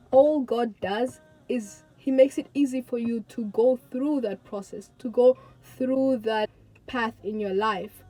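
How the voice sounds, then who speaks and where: Earnest, mellow-voiced young woman. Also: on the high side, rough recording. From Tanzania. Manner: earnest, chatty, repeats words for emphasis. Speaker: vlogger. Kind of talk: casual talk to camera.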